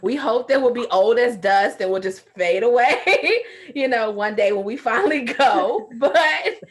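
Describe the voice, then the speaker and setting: A woman speaking as a coach and podcast guest, chatting about faith in an animated, humorous way. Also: on the high side, unhurried. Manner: animated, humorous, prone to laughing. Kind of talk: casual, faith-centred interview talk.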